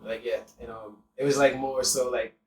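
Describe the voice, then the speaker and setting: A man's voice: medium pitch, reverberant, recorded from a distance, faint music behind, rough recording. A man from Trinidad, a guest rapper, chatting casually in a podcast and leaning on filler words. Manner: fast, relaxed, lots of "like".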